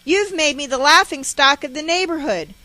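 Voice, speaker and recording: Woman acting out a harsh, shouting, enraged mother. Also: high voice, moderate pace, no room sound, voice pushed up. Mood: furious scolding. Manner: scolding, threatening, cursing. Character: enraged, harsh, abusive mother ranting and threatening.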